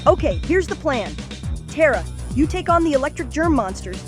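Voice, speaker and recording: A woman plays an earnest young boy squad leader with a high voice, giving orders in an animated comic dub. Music plays clearly behind the lines. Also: moderate pace. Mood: fierce, commanding. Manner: commanding, earnest.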